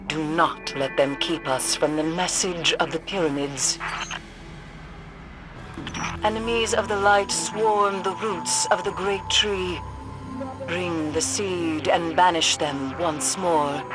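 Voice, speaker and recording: A stern, ominous seer from a video game. Her delivery is very measured and portentous, each phrase a solemn command. Music plays clearly behind her. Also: deep voice. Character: stern, ominous mystic woman, grave and scornful. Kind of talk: video game character acting.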